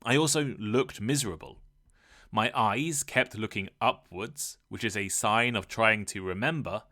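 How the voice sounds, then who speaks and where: Bright-voiced man giving a spoken lesson. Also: on the low side. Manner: clear, measured, instructive. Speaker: podcast host. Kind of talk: scripted educational monologue.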